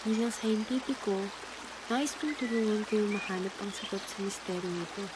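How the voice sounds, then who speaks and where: A woman's voice: mid-range voice, normal speed, noisy background, close to an everyday voice. A woman playing a careful, polite scientist who helps a village, in an amateur radio drama. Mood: earnest, analytical. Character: earnest, careful female scientist helping a village.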